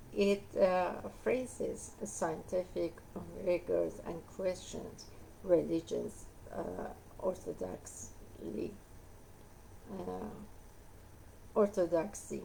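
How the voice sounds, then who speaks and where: A woman with a hoarse voice reads a nonfiction book aloud, pausing often on "uh" and going back to fix her words. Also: lowish voice, warm tone, a little hiss or hum, rough recording. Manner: halting reading with frequent uh fillers and self-corrections. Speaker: book reader.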